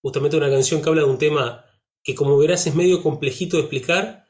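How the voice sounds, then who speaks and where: A man's voice: on the high side, quick pace. Rioplatense-accented man, musician guest, reflective, easygoing chat. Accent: Rioplatense Spanish. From Uruguay. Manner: reflective, uses many fillers and tag questions. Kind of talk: relaxed conversational interview.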